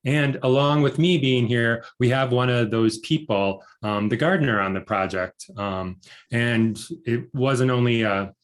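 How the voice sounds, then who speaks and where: A man's voice: mid-range voice, a little slow. Male director, easygoing panel talk, medium voice. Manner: relaxed, with frequent ums. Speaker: documentary director. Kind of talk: panel discussion.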